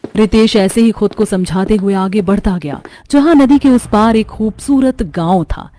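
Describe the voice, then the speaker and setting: A woman's voice: mid-range voice, moderate pace, warm tone, dry recording. A woman reading scripted narration for a horror story.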